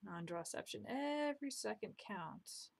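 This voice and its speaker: A young woman talks through her drawing at her desk, her voice sitting at an ordinary middle pitch. She is chatty and self-deprecating, often trailing into soft muttered asides. It sounds like a simple home desk-mic recording. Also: expressive, measured pace, vocal fry. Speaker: drawing vlogger. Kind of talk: casual commentary while drawing. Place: home room, indoors.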